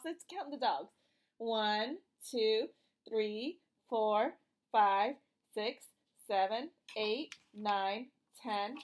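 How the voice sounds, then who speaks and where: A woman doing an interactive read-aloud for young children like a teacher, asking simple questions, giving encouraging prompts and reading the words with emphasis. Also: a little high.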